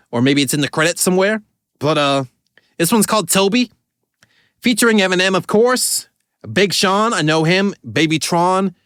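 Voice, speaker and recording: A man with a voice going hoarse, chatting loosely as he reacts to music, with lots of filler words and swearing. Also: on the high side, fairly bright tone, dry recording, clean studio sound. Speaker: caricature artist reacting to music. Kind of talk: casual reaction commentary.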